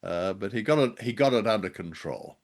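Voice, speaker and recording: A man's voice: lowish voice, lively intonation, fairly quick. A man co-hosting a podcast, musing and a little hesitant as he casually reviews radio dramas.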